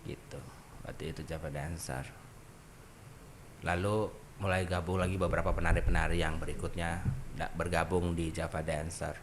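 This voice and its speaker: A young adult man, a dance group founder and trainer, chatting on a podcast in an animated way. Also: deep voice, moderate pace, background noise. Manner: animated, sings melodies and acts out quoted voices. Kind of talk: casual podcast conversation.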